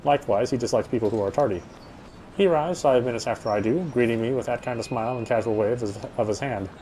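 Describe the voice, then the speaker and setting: A man's voice: mid-range voice, fast talker, warm tone, slight background noise, poor audio. A man reading a visual novel's narration aloud as he plays, now and then stumbling and rereading phrases.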